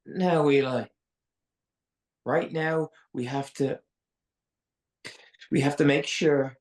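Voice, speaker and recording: A man's voice: a little high, steady tone, slow pace. An actor playing a shaken man who takes charge of a crisis in a live screenplay reading. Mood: panicked, urgent. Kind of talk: live screenplay table read acting.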